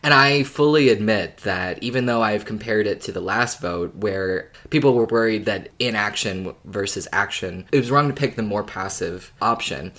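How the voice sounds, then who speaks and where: A podcast co-host talking casually and in a measured way, open to other views. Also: medium pitch, fairly bright tone. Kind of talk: casual discussion chat.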